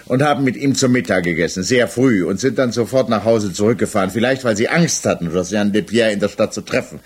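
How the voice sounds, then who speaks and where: Insistent low-voiced male interrogator. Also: fast, husky. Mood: pressing. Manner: formal, probing, pointed questioning. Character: insistent male examining magistrate, coolly sceptical and probing. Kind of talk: 1960s German radio play acting, interrogation dialogue.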